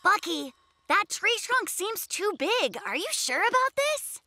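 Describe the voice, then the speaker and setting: Really high little cartoon bear cub, earnest n mild, bouncy intonation, music under it. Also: female voice, sing-song, measured pace, bright, forceful tone, heavily acted. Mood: concerned. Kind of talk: children's cartoon voice acting.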